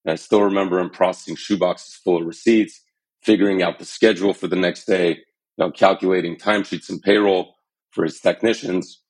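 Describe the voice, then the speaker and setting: Male founder in his thirties, deep voice, sincere and steady storyteller. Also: low-quality recording. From Armenian, born in Iran, raised in the US. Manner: earnest and measured, some fillers. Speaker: startup founder and CEO, podcast guest. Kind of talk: interview storytelling.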